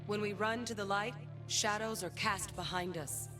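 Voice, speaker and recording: A woman plays a battle-hardened cursed woman sentinel from a fantasy game. She speaks deliberately, with dry sarcasm, defiance and some tenderness. Clear music plays behind her. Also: mid-range voice, steady tone, close to an everyday voice. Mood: solemn. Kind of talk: dramatic game voice lines.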